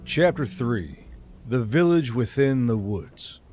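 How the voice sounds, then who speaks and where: A man's voice: medium pitch, animated, slow pace, darkish tone, faint music behind. A man reading a scripted fantasy audiobook as host and narrator of his channel.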